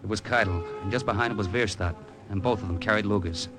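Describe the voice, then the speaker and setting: Old radio, loud music bed. Male actor as cynical American tough guy, lowish voice, slangy hard-boiled delivery. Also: quick pace, close to an everyday voice. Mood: tense narrating. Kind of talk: 1940s radio drama acting and first-person narration.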